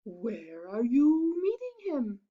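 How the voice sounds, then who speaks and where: A woman's voice: sing-song, takes it slowly, phone-quality sound, exaggerated voice. A woman voicing a hooting male owl in a storybook read-aloud. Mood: inviting. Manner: hoots, shrill.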